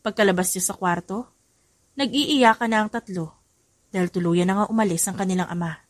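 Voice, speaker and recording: A woman's voice: medium pitch, fairly quick, dry recording. A woman with a Filipino accent reading scripted, dramatic story narration.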